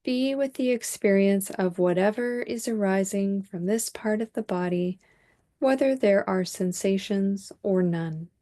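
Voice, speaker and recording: A woman leading a guided body scan meditation as a teacher, speaking calmly and in a measured way with long pauses. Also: mid-range voice.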